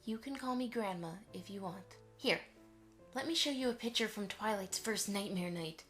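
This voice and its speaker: A woman voicing a gentle, motherly mare who talks in a fond, reassuring way in an audio drama. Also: medium pitch, normal speed, faint music behind, lightly acted. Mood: tender. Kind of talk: fan audio drama acting.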